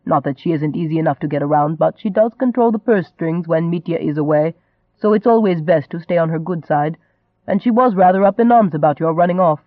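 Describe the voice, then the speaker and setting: A man's voice: a little high, brisk, no room sound, phone-quality sound, natural voice. A man voicing a charming young nobleman in an audiobook, a teasing and cajoling rogue who stays unruffled.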